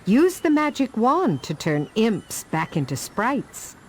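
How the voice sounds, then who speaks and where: A woman voicing a kindly guide who gives clear scripted instructions in a fairytale game. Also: on the high side, big pitch swings, takes it slowly, slight background noise. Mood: encouraging, instructive. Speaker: game narrator and tutorial guide.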